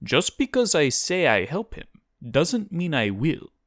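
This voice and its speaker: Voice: medium pitch, very animated, measured pace, a bit bright, no room sound, studio quality, voice pushed up. A narrator voicing a naive, chatty adventurer who is guileless, blunt and full of questions. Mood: sympathetic.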